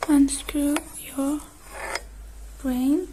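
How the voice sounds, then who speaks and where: A woman doing an ASMR roleplay as a technician, whispering softly and breathily close to the microphone, murmuring gently through each step. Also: high voice, fairly even intonation, extremely slow, slight background noise, rough recording. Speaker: ASMR creator playing a robot technician.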